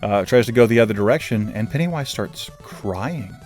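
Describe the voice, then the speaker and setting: A man's voice: lowish voice, normal speed, soft background music. A man giving a casual, rambling film review, self-deprecating and loose, with plenty of fillers. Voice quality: says his own voice is normally monotone. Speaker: movie reviewer.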